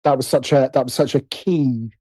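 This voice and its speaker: A man's voice: medium pitch, moderate pace, mellow, no room sound. A British man telling personal stories conversationally as an interview guest, hesitant and often interrupting himself as he thinks aloud.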